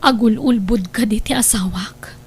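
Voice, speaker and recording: A woman's voice: fairly high pitch, moderate pace, faint music behind, lightly acted. A woman playing a worried wife and mother in an audio drama, speaking in an emotive, sighing way. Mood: suspicious. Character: suspicious, worried wife and gentle mother of young children. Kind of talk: Ilocano audio drama acting.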